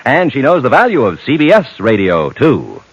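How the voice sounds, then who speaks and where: A man's voice: mid-range voice, huge pitch swings, normal speed, a little hiss or hum. Swooping vintage American radio announcer man. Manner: polished, emphatic, dramatic phrasing. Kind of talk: 1950s radio announcing, scripted. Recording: muffled old radio broadcast, loud music.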